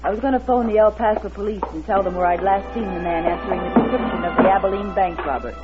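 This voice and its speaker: A woman's voice: medium pitch, fast talker, natural voice. An actress playing an anxious, wary woman motorist on the run, narrating in a dramatic 1940s radio suspense style. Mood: tense narration. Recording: dull vintage radio recording with music behind.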